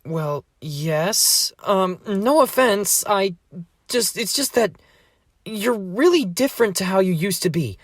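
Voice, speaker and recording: A man's voice: high-pitched, lively intonation, takes it slowly, dry recording, full character voice. A man voicing an anxious, whiny thirteen-year-old boy in an amateur fandub, exasperated and hesitant. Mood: hesitant, regretful.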